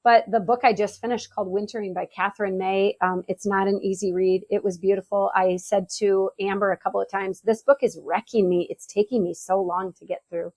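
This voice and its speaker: A woman's voice: medium pitch, steady tone, a little fast, few pauses, a little mellow, dry recording. A middle-aged American woman chatting casually about books as a podcast guest. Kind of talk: casual book chat.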